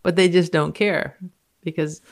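A woman's voice: on the low side, steady tone, unhurried, vocal fry. A woman speaking as a guest on a science podcast, moving between casual chat and reading aloud from a paper.

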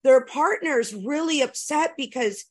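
Frank, animated woman telling stories. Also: high voice, unhurried. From California. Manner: animated, frank and profane, many restarts and asides. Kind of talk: casual storytelling chat.

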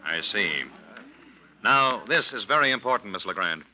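A man plays a stern, methodical police lieutenant in a 1940s radio drama. His low voice is formal and probing. It comes through a dull old recording. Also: animated, moderate pace, natural voice. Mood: grave, probing. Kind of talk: 1940s radio drama acting, courtroom questioning.

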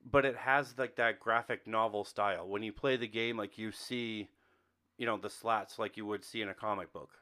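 A man's voice: fairly low pitch, steady tone, moderate pace, pushed and bright. A man co-hosting a podcast, chatting casually about films and games. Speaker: podcast co-host. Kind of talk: casual film and game discussion.

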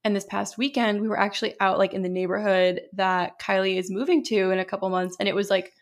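A young woman co-hosting a podcast in casual chat, talking loosely with many fillers and a slightly hoarse voice. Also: mid-range voice, steady tone, quick pace. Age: young adult. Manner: chatty, lots of fillers. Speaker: podcast co-host.

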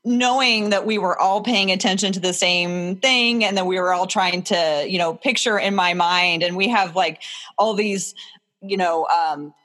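A woman's voice: on the low side, unhurried, a bit bright. A woman hosting a podcast, chatting casually. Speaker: podcast host. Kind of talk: casual chat.

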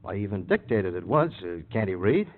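An actor playing an evasive, aggrieved murder suspect in a 1950s radio crime drama, defensive and hesitant in short broken sentences. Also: male voice, on the low side, sing-song, a little fast. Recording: old radio, phone-like band.

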